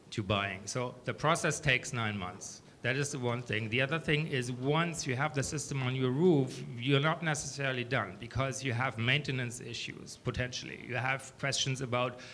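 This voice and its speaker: German-accented man with a bright, clear voice. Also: medium pitch, slight background noise. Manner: earnest, steady, many "um"s. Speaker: startup founder pitching. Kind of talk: startup pitch and Q&A. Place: small event room, indoors. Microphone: handheld mic.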